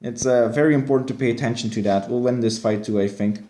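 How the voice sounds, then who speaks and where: A man's voice: mid-range voice, quick pace, mellow. A young man from West Flanders with a West Flemish accent, chatting casually with viewers as he streams a mobile game. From Belgium, West Flanders. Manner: chatty, answers viewer chat while playing. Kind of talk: casual live-stream commentary. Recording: echoey room.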